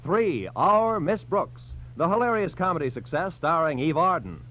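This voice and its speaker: A man's voice: fairly high pitch, big pitch swings, normal speed. An American man announcing on 1940s radio, reading scripted credits and promotions in a polished, emphatic, formal style. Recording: dull 1940s radio recording.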